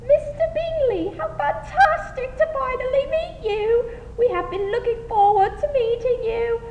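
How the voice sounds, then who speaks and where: Woman playing a shrill, gushing society mother. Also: a little slow, a little mellow, faint music behind. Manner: effusive, theatrical. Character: gushing, excitable middle-aged society mother. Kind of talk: 1920s radio drama parody. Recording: muffled, reverberant, faint hiss.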